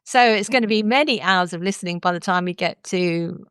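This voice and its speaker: A woman's voice: fairly low pitch, fairly quick, a bit bright, smooth, no room sound, studio quality. A woman hosting a podcast in a conversational presenting style. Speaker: podcast host.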